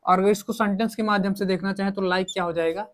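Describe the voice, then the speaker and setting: A man's voice: sits high, steady tone, fast talker, a bit bright. A man teaching English grammar in a coaching lesson, repeating himself and asking questions that he answers himself. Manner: repetitive, asks and answers own questions. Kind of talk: coaching lesson, explaining in Hindi with English examples.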